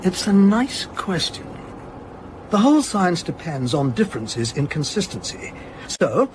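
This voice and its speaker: A dryly pedantic male academic explains things in a measured, lecturing manner. His voice sits fairly high for a man, with clear noise behind it, as in a location-style radio drama. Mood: precise, confident.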